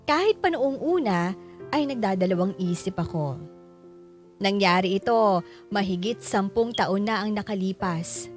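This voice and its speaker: A woman's voice: mid-range voice, expressive, unhurried, pauses often, faint music behind, close to an everyday voice. Woman acting an earnest middle-aged storyteller. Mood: reflective. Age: 40s. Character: forty-three-year-old woman shopkeeper telling her life story. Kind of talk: scripted first-person letter narration.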